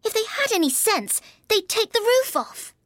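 Young girl, very high voice, prim, curious, spirited, storybook reading, dry sound. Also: big pitch swings, moderate pace, a bit bright, no room sound, full character voice. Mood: musing. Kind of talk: storybook character voice.

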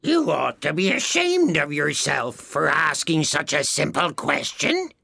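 A gravelly, bossy Gryphon. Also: male voice, fairly high pitch, sing-song, a little slow, bright, forceful tone, dry recording, clean studio sound, voice pushed up, heavily acted. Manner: brusque, ungrammatical, bossy. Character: gruff, impatient male Gryphon with rough dialect.

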